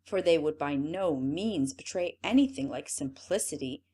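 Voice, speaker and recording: A woman reading a classic fairy tale aloud as an audiobook narrator, in an expressive storytelling manner. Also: sits low, normal speed, slightly rough. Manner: expressive, lively storytelling read.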